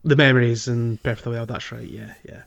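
A man hosting a casual gaming podcast, recapping a playthrough in halting phrases with pauses to think. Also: mid-range voice, fairly even intonation, creaky, fairly bright tone, dull audio. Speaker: podcast host.

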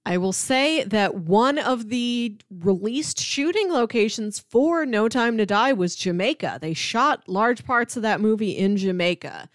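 A woman's voice: a little high, animated, a little slow, few pauses, no echo, clean studio sound. A woman co-hosting a podcast, chatting casually about movies in an informal way, often dropping in "like". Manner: informal, peppers speech with "like". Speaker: podcast co-host.

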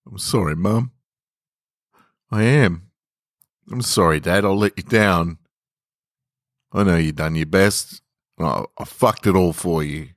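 A man playing a dying, guilt-ridden son in a dramatic monologue, halting and repeating himself as he pleads with his parents. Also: sits low, no echo, studio quality. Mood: remorseful. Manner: halting, repetitive, self-reproaching. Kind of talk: dramatic first-person monologue.

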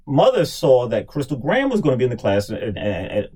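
A man's voice: medium pitch, wildly swooping pitch, brisk, dark tone, husky. Teasing man in his thirties. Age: 30s. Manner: teasing, sarcastic, playful. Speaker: co-host, brother. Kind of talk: casual podcast banter. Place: studio.

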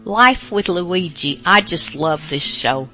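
A woman hosting a radio show, introducing it in a casual, halting, unscripted way. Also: fairly low pitch, animated, music in the background, rough recording. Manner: halting, informal.

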